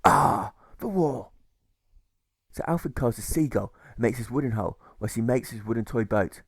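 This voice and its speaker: A man reading a rhyming audiobook as scripted narration, with theatrical, measured emphasis. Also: medium pitch, dark tone, breathy edge, no room sound, clean studio sound. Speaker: author reading his own audiobook.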